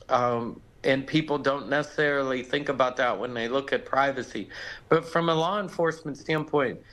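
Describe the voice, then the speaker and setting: An American man speaks as a legal expert in a live news interview. His mid-pitched voice is conversational and explanatory, like a lawyer laying out an argument. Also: a bit bright, poor audio.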